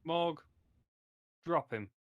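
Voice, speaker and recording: A man's voice: on the high side, animated, very slow, no room sound, lo-fi sound. A man playing a tabletop game, speaking casually in short, blunt lines.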